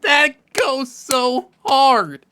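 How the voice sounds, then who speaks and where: A man hosting a reaction video with casual, slangy commentary, moving between animated exclamations and muttering. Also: very high-pitched, very slow, heavy background noise. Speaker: YouTube reaction host.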